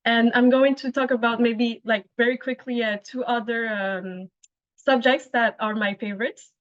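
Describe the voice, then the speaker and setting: A woman's voice: a little high, steady tone, normal speed, dry recording. A young woman, a master's student, describing her studies in a hesitant casual way with many fillers. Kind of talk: casual webinar talk. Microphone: laptop mic on video call. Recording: dull lo-fi call audio.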